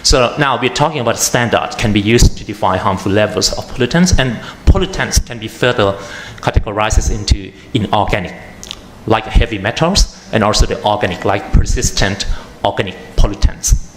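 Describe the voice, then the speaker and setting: A man with a Thai accent, an atmospheric chemist giving a conference talk, halting with many fillers and restarts. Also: fairly low pitch. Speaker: panel speaker, atmospheric chemist. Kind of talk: conference presentation. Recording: echoey room with faint noise.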